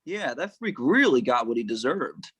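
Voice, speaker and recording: A man playing a scornful, skeptical tough in an amateur audio drama, with a husky voice. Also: mid-range voice, very animated, moderate pace, a bit bright, dry recording.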